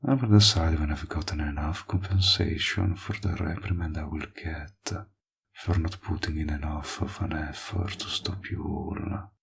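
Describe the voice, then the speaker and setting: A man playing a smug, possessive villain in an audio roleplay, flirtatious and menacing, with a husky, breathy voice and a slow, teasing delivery that dips toward whispers. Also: very deep voice, fairly even intonation. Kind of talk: roleplay audio acting, intimate monologue.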